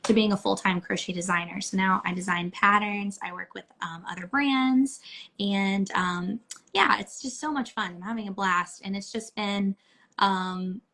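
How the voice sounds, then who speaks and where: Female guest, 30s, hoarse cracking voice, mid pitch, bright, easygoing. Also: normal speed. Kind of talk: casual interview chat.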